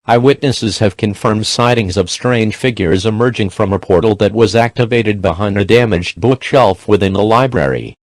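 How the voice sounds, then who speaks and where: A man's voice: lowish voice, moderate pace, few pauses, no room sound. A man reading a scripted emergency broadcast as an alert announcer.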